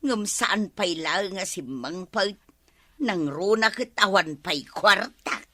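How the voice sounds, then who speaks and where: Actress as needy village neighbour, plaintive, bright and forceful, slightly slow, dry sound. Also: female voice, mid-range voice, lively intonation, no room sound, exaggerated voice. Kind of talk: comedy radio drama acting in Ilocano.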